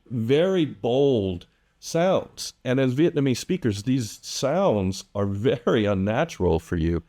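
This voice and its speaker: A man teaching English pronunciation to camera, speaking deliberately and drawing out example words. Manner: lively, animated pitch. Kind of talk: direct-to-camera lesson.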